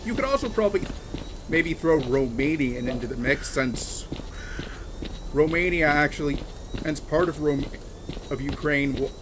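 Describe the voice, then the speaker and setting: A man chatting casually on a game livestream, talking in a halting, rambling way with many restarts and fillers. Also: a little high, animated, noisy background. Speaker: streamer. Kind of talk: casual livestream chat while gaming.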